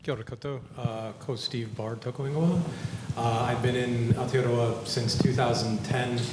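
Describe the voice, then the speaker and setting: A man's voice: medium pitch, fairly even intonation, moderate pace, noisy background. A man from the United States introducing himself on a panel as a producer-writer, wry and joking. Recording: very echoey room with loud clicks.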